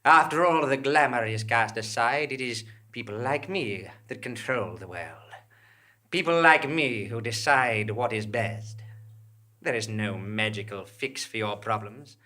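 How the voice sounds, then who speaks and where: A man's voice: mid-range voice, unhurried, pushed and bright. A man acting a cynical, repressed fraud wizard in a live stage comedy, pompous and grandiose in delivery. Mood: weary, cynical. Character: unmasked male fraud wizard, cynical, repressed, posing as enchantress.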